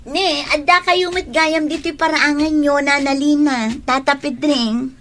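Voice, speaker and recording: A caring elder sister, faint noise. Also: female voice, sits high, fairly even intonation, measured pace, a bit bright. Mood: friendly. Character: gentle, patient elder sister, protective and caring. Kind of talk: Ilocano radio drama acting.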